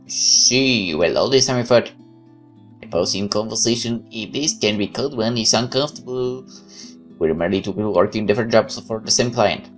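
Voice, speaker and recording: Animated man narrating a game playthrough. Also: mid-range voice. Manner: expressive, chatty. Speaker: gaming YouTuber. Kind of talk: let's play, reading game text aloud with casual commentary. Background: guitar music.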